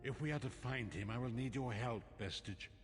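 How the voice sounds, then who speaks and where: An actor voicing a wise old blind prophet in a fantasy video game, grave and cryptic, speaking in solemn, formal phrases. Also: male voice, medium pitch, moderate pace, natural voice. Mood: grave warning. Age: older. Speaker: quest-giving mentor in a fantasy game. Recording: long hall reverb, game music underneath. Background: game music.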